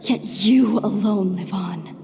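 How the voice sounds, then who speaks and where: A woman voicing a grave, bitter, haunting accuser in a video game, with drawn-out words in long reverb. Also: medium pitch, gravelly, dark tone. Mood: bitter, accusing. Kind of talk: video game dramatic acting. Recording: reverberant, faint ambient noise.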